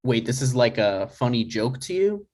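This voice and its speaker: A man's voice: medium pitch, big pitch swings, moderate pace, darkish tone, natural voice. A man reads the part of a grown son in a comedy script. His intonation moves around a lot. He sounds dry, hesitant and put-upon, in a natural conversational way. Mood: disbelieving. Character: exasperated, dry, reluctant adult son, struggling writer.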